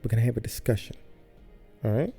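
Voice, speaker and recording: Man, podcast host, casual monologue, repeats himself, warm dark tone, faint music behind. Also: medium pitch, fast, vocal fry, husky. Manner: loose, rambling, repeats himself. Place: home studio.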